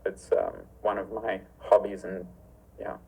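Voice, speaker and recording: A man's voice: sits low, huge pitch swings, a little slow, vocal fry, warm tone, husky, poor audio. A man born in Zimbabwe, a stunt performer guest on a remote call, talking earnestly and solemnly with many restarts. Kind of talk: earnest interview talk.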